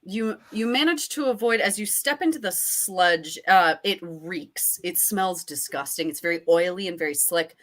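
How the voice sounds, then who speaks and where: Playful low-voiced woman running a tabletop game. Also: moderate pace, few pauses, fairly bright tone. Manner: playful, with dramatic descriptive asides. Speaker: game master. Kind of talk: improvised tabletop game narration.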